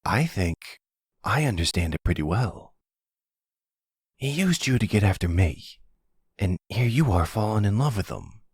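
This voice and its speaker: A man's voice: lowish voice, expressive, unhurried, long pauses, husky, no echo, clean studio sound, pitched above the performer's own voice. A man playing a sulky, defensive young crook, a grown son who protests and hesitates, in an ASMR roleplay drama. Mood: incredulous, sulky.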